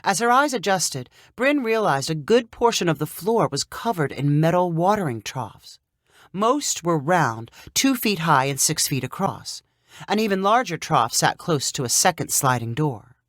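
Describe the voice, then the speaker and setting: Female storyteller for short fiction: slightly low register, expressive, dry close recording. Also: a little slow, no echo. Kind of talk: scripted short-story narration.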